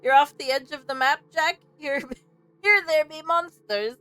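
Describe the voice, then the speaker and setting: Slow-paced woman streamer reacting casually. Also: high voice, pushed and bright, faint music behind. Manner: short exclamations, informal reactive commentary. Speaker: game streamer. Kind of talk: casual let's-play reactions.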